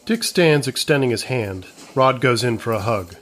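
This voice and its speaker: A man's voice: medium pitch, animated, moderate pace, fairly bright tone. A man narrating a comedy script, reading out the stage directions.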